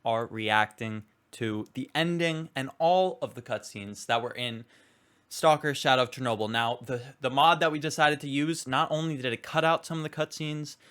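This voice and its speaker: A man's voice: mid-range voice, moderate pace, a bit bright, smooth. A man giving casual gaming reaction commentary in an informal, chatty, rambling way. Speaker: gaming YouTuber host.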